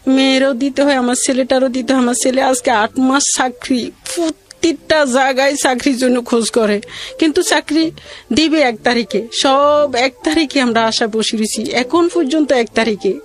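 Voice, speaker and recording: A middle-aged woman with a colloquial regional Bangladeshi accent tells her own life story in a halting, rambling, plaintive way. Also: high-pitched. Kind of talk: emotional personal storytelling.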